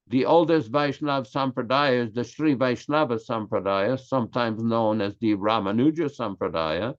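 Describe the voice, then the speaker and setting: An older man from Quebec with a Québécois French accent, French his first language, giving an earnest, emphatic class on scripture. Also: mid-range voice. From Quebec, Canada. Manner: earnest and emphatic, stressing key words slowly. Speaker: religious class speaker. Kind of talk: lecture on scripture. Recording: slightly dull lo-fi audio.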